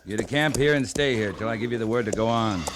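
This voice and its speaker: An actor playing a steady, decisive US Army captain in an old time radio western, with a measured, commanding manner. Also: male voice, medium pitch, lightly acted. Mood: firm. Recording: noisy old radio recording.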